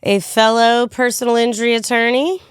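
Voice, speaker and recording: Higher-pitched woman, blunt podcast host. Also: flat delivery, moderate pace, clear, resonant tone, no echo, clean studio sound. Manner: blunt, slangy, teasing. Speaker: podcast host, personal injury lawyer. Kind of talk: casual banter.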